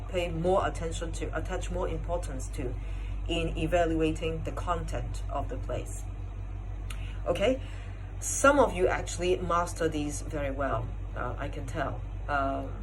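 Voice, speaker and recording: Woman, competition judge. Low-pitched, explanatory, stop-and-start delivery, with faint background noise. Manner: explanatory, halting, searching for words. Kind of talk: spoken feedback talk to contestants.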